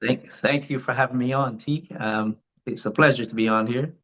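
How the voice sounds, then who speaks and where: A man's voice: medium pitch, steady tone, normal speed, a bit bright, highs cut off. An older man from Barbados with a Barbadian accent, telling his life story as a guest in a casual interview, rambling with many restarts.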